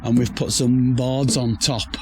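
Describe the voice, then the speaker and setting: A hoarse man who has nearly lost his voice, chatting casually to camera about his outdoor building project with rambling restarts. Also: medium pitch, level tone, unhurried, slight background noise. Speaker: vlogger building a pizza oven shelter. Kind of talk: casual to-camera DIY chat. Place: outdoor building site in a garden.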